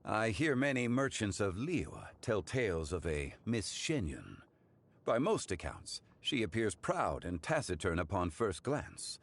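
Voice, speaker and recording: A man voicing a calm, dignified, learned man in a game, speaking in a measured, courtly way. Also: lowish voice, heavily acted. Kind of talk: game cutscene voice acting.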